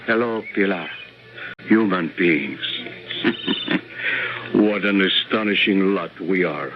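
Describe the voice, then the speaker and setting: An actor playing a wry, world-weary man in an old-time radio drama, speaking in a measured way. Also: low voice. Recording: muffled old radio recording, music behind.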